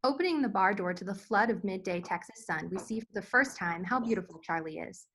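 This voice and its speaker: A woman's voice: mid-range voice, expressive, brisk, a bit bright, husky. A woman narrator reading screenplay scene directions as scripted narration.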